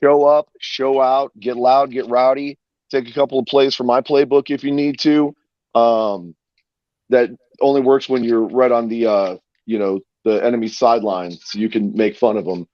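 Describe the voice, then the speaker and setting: A man co-hosting a fan podcast, rallying listeners to get loud and support the team. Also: medium pitch, fairly even intonation, normal speed, dry recording, highs cut off. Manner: rallying, hype-up encouragement. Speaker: podcast co-host. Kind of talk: casual fan podcast chat.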